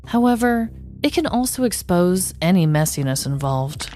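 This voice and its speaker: A woman with a fairly low voice hosts a comedy podcast. She tells scripted true-crime tales and chats casually, with faint music at times behind her. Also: sing-song, normal speed, mellow. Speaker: podcast co-host.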